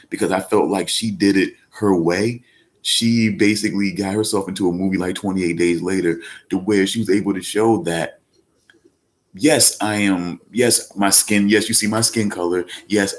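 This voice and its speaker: A man hosting a live podcast, talking casually about actors and films in a rambling way full of fillers and restarts, getting louder when he makes a point. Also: sits low. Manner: speaks up when emphatic. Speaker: podcast host. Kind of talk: casual live-stream talk.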